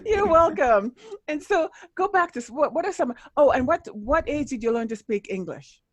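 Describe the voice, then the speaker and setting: A woman's voice: high voice, lively intonation, moderate pace, no room sound. A woman from Jamaica with a slight Jamaican accent, hosting a casual interview and chatting freely with many "you know" fillers. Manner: chatty, warm, leans heavily on "you know". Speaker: podcast host.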